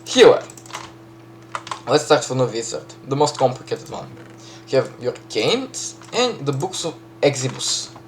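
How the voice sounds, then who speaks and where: A man with a Serbian accent casually walking through a game map he built, chatty, full of fillers and quick self-corrections. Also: mid-range voice, sing-song, noisy background. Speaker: map creator giving a tour. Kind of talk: casual gameplay walkthrough.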